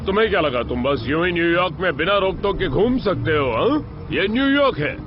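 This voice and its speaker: Man playing a bossy, high-voiced cartoon officer. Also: very animated, a little fast, background noise. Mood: stern. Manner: taunting, authoritative. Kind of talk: animated film dub. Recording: muffled dub over loud music.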